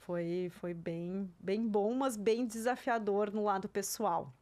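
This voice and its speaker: A woman's voice: medium pitch, slow, darkish tone. A woman in her thirties, a native German speaker, chatting reflectively as an interview guest and often tagging her sentences with "né?". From southern Brazil. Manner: reflective, tags sentences with "né?", light laughs. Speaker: interview guest, career mentor. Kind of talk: casual interview chat.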